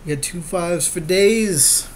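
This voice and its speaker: A man's voice: high voice, slow. A man giving casual, jokey, slangy commentary on a game as a podcast co-host. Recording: noisy amateur recording.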